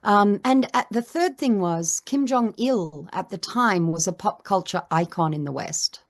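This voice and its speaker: A middle-aged woman filmmaker answering interview questions in a reflective, measured way with frequent pauses. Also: medium pitch. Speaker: documentary filmmaker.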